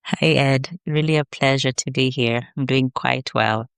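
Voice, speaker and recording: Smooth-voiced Kenyan woman, measured. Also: a bass voice, fairly even intonation, no room sound, clean studio sound. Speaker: podcast guest, researcher. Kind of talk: reflective interview answers.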